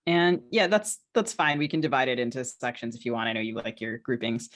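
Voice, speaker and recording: A woman in casual podcast conversation as co-host and guest, wry and joking, pausing and hedging as she talks. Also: a bass voice. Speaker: podcast co-host and novelist being interviewed.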